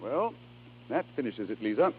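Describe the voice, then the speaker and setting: An actor playing a harried, exasperated husband of an opera star, flustered but emphatic, in 1930s radio drama style. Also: male voice, medium pitch, very animated, normal speed, husky, faint music behind. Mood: uneasy. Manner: flustered, hesitant, then emphatic. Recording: scratchy, narrow 1930s radio recording with hum.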